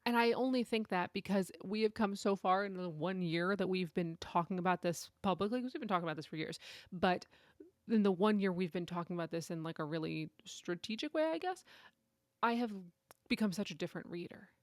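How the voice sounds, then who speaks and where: A woman's voice: on the low side, brisk, no echo. Chatty woman podcaster, dry close sound. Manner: chatty, laughs often. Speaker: podcast co-host. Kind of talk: casual book chat.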